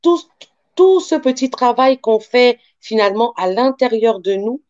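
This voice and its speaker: Mellow-voiced woman explaining in an interview. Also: fairly high pitch, animated, a little slow, no room sound, muffled recording. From born African, grew up in France. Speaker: guest, sophrologist and life coach.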